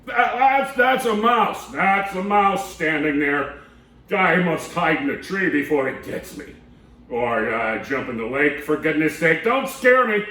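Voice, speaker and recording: A man's voice: on the high side, lively intonation, measured pace, pushed and bright, voice pushed up. A man doing a jittery, stammering elephant. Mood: frightened. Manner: fretful, stammering. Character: big jumpy male elephant, easily scared. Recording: echoey room from a distance.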